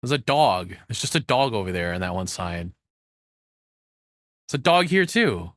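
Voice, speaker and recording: A man doing casual live reaction commentary as a streamer, with musing, halting asides, close to the microphone. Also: fairly low pitch, sing-song, a bit bright, no room sound.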